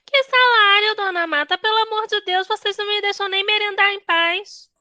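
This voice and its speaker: A woman's voice: extremely high pitch, normal speed, pushed and bright, no room sound, full character voice. A woman playing a gossipy, cheeky maidservant in a comedic audio drama, defensive and full of whining exclamation. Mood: indignant complaining.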